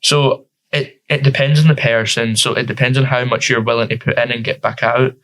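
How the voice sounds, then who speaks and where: A man's voice: mid-range voice, little pitch movement, brisk, a bit bright, rough recording. A teenage boy with a Scottish accent, answering interview questions informally as a youth councillor, with frequent fillers. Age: teens.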